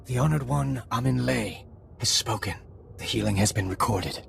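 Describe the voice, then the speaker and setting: Alien male dignitary: heavy gravel, low, ceremonial, formal. Faint game ambience. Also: normal speed, slight background noise. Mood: formal, reverent. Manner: stilted, ceremonial. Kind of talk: video game dialogue acting.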